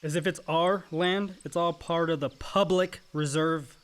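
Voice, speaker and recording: An actor playing a wry, gently chiding young adult brother in a naturalistic radio play, with a dry manner. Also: male voice, a little high, fairly even intonation, unhurried, slight background noise, natural voice.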